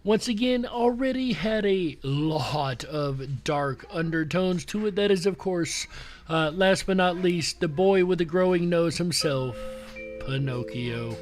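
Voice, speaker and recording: A man's voice: a little high, lively intonation, unhurried. A man hosting a show in a casual, conversational showman's style, speaking directly to his listeners. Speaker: show host. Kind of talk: casual live show commentary to audience. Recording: music playing behind the voice. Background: background music.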